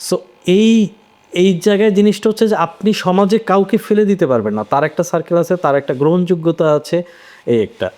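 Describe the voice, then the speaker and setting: A man's voice: high-pitched, brisk, darkish tone. A man in a conversational literary discussion, explaining things by posing questions and then answering them. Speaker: voice actor on a discussion panel.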